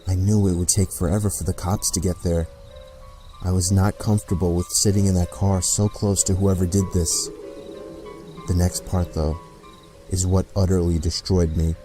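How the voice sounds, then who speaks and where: A man narrates a scripted scary story in the first person. His voice is deep and dark, and his delivery is measured and deliberate. Faint music plays behind him. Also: level tone. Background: background music.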